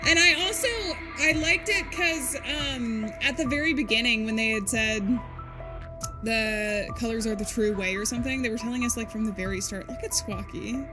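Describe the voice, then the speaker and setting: A woman streaming a game live, chatting casually, laughing often and reacting loudly to what happens. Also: a little high, normal speed, rough recording. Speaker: streamer playing games. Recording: game music behind the voice.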